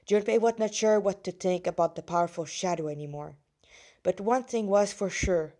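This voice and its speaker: A woman reading her own fan fiction aloud in an amateur, halting way, stumbling and correcting herself with casual asides. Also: medium pitch, warm tone.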